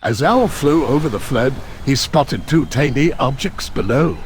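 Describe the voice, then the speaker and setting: A man narrating a children's story from a script in an expressive storytelling manner. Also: mid-range voice, measured pace, a little hiss or hum.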